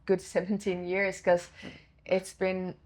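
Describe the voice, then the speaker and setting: A woman's voice: mid-range voice, completely flat delivery, slow pace, a little mellow. A woman from Sweden, speaking English as a second language, telling her story casually in an interview with frequent fillers and restarts. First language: Swedish. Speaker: guest, former goalkeeper and goalkeeper coach.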